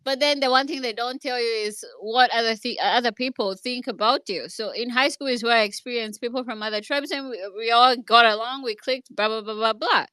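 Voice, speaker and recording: A woman's voice: fairly high pitch, fairly quick, hardly any pauses, pushed and bright, clean tone, no echo, poor audio. A woman with a Kenyan accent telling a personal story in an online discussion, earnest and hesitant, with many restarts. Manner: earnest, speaks from her own experience. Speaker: speaker in an online audio discussion.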